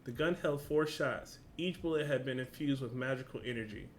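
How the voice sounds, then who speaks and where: A man's voice: medium pitch, a little fast. A man speaking informally and with slang, as a reaction host who reads a story aloud and comments casually. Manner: informal, slangy, swearing, exclamatory asides. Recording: clear background noise.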